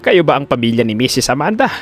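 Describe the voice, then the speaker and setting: A man voicing a corrupt, greedy doctor with a formal, grave, measured manner in an audio drama. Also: mid-range voice, sing-song, slight background noise, natural voice.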